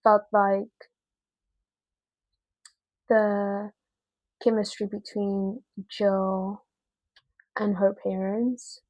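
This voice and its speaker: A woman giving a casual, chatty video review in an expressive, slangy way with emphatic repetition. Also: mid-range voice, very slow, long pauses, dry recording, low-quality recording.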